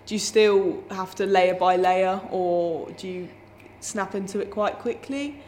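A woman's voice: mid-range voice, unhurried, a little mellow, slight background noise. A woman interviewing theatre cast members in a chatty way, with frequent affirming murmurs. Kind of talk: casual interview.

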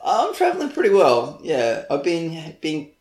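A man's voice: a little high, wildly swooping pitch, unhurried, darkish tone. A young man co-hosting a podcast in casual chat, rambling, laughing and poking fun at himself. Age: young adult. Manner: rambling, laughing, self-mocking. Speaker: podcast co-host. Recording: echoey room.

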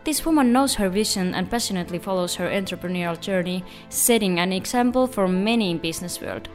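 A woman's voice: mid-range voice, fast talker, soft background music. A woman, hosting an entrepreneurship podcast and introducing and interviewing guests. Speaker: podcast host.

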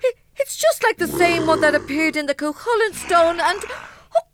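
A woman's voice: very high-pitched, animated, moderate pace, noisy background. An actress playing a jumpy, blunt young Irish woman in a comedy audio drama. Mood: horrified. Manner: nervy, blunt, salty. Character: jumpy, plain-spoken young Irish woman, easily spooked.

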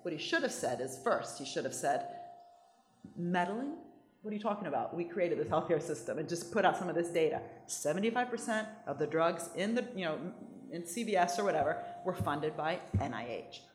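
Low-voiced woman with an American accent. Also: lively intonation, echoey room. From Italy. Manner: fast, emphatic, restarts and asides. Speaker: economics speaker. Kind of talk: lively talk with audience questions.

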